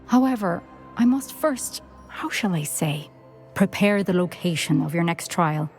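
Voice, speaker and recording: A woman's voice: lowish voice, animated, unhurried, darkish tone, faint music behind. An actress playing a dignified older witch headmistress in a video game, formal and wry in manner. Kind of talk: video game cutscene acting.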